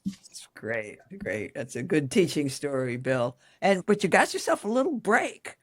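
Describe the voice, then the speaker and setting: Lively woman in her eighties. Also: low voice, measured pace, no echo, clean studio sound. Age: 80s. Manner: warm-humored, laughs easily. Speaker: guest coach. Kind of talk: casual podcast conversation.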